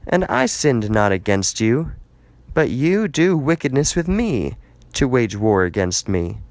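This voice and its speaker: A man's voice: mid-range voice, sing-song, unhurried, no room sound. A man reading as a stern, declamatory Israelite leader. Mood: indignant, challenging. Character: stern male Israelite leader arguing his case, solemn.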